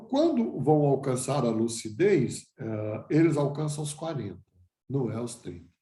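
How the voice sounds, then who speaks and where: A man's voice: on the high side, warm tone. Older male lecturer, echoey room. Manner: reflective, rhetorical questions, frequent restarts. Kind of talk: lecture to a class.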